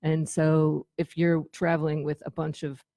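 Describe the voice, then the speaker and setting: A woman with a low-pitched voice tells stories in a casual interview. She is conversational and animated, slipping into quoted dialogue, and the sound is close and dry. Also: moderate pace, dry recording. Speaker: radio show guest, former tour manager.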